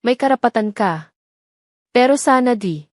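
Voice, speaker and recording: A woman's voice: on the high side, expressive, a little slow, a little mellow, dry recording, studio quality, voice pushed up. A woman voicing a remorseful, devoted male army major with an earnest, pleading manner in an audio drama.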